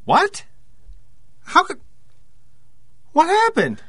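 A man's voice: very high voice, wildly swooping pitch, extremely slow, sharp tone, no echo, full character voice. An actor playing an intense, guilt-ridden man confessing to murder in an audio drama. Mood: shocked, distraught.

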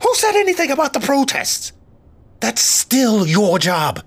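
A man playing a sarcastic, frazzled, domineering small-city mayor with a theatrical, drawn-out delivery in an audio drama. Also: very high voice, huge pitch swings, full character voice. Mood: sardonic, agitated. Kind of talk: scripted audio drama acting.

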